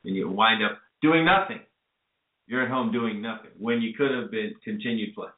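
A man's voice: fairly low pitch, normal speed. A man hosting a call-in radio show, earnestly advising listeners and then bringing on a guest. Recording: echoey, phone-like lo-fi audio.

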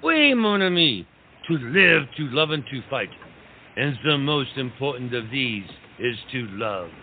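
A man's voice: medium pitch, big pitch swings, measured pace. Exuberant French marquis played by a man, theatrical, rousing period-comedy delivery; narrow phone-band sound with noise. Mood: rousing, earnest. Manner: grand, rousing, peppered with French phrases. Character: exuberant, idealistic French marquis urging his friend on. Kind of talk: theatrical comic period audio drama. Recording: tinny phone-like line, clear background noise.

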